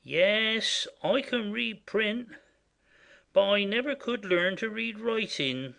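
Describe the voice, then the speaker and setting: An actor playing a simple, boastful, smitten manservant in a classic comedy audio play, with a naive, exclaiming manner. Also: male voice, sits high, lively intonation, takes it slowly, gravelly, bright, forceful tone, full character voice. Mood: cheerfully boastful. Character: simple, boastful male servant, cheerfully self-satisfied and smitten.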